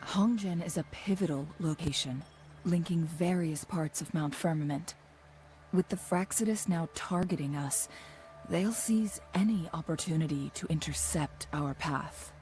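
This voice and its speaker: A woman voicing a composed, knowledgeable young guide in a fantasy video game, speaking in a measured, formal, thoughtful way. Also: low voice, warm tone, soft background music, rough recording. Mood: solemn explaining. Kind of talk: scripted video game voice acting.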